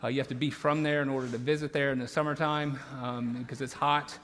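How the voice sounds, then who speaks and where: A man's voice: mid-range voice, flat delivery, fast, a bit bright. A man in his thirties with a Southern accent from Louisiana, preaching a sermon as campus pastor in an earnest, conversational way with many restarts. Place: large church auditorium. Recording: long reverb of a large church hall.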